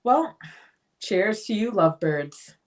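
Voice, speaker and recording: A woman's voice: mid-range voice, animated, slow pace, a little hiss or hum. A woman playing an aloof, sardonic bohemian artist in a dramatic play reading. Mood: haughty.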